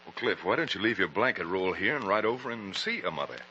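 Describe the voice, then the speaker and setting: An actor playing a steady, heroic cowboy, firm and fatherly, with folksy commanding talk in an old radio western. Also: low voice, quick pace. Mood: friendly, calm. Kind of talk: 1940s radio western acting. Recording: muffled, noisy old radio recording.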